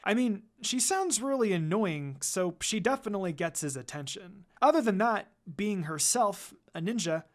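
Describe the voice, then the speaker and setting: A composed young ninja woman from an anime fan story, read aloud by a woman. She is reserved and gently teasing, and her pitch moves in a lively way. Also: moderate pace, hardly any pauses, lightly acted. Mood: guarded. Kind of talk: fan fiction character dialogue read aloud.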